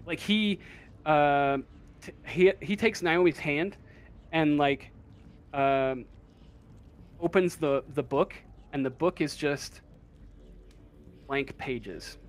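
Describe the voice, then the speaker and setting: A man improvising narration as a tabletop game master, halting as he searches for words. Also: a little high. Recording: faint room noise.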